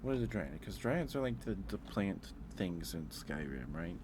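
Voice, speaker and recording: A man's voice: deep voice, sing-song, moderate pace, background noise. A man streaming ASMR in a casual, hesitant way, repeating his phrases as he thinks aloud. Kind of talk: ASMR livestream, casual chat ranking things.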